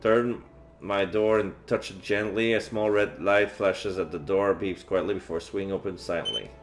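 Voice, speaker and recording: A man's voice: sits low, moderate pace. A man reading a visual novel aloud on a stream, with casual asides as he plays. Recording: faint music behind the voice. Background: game music.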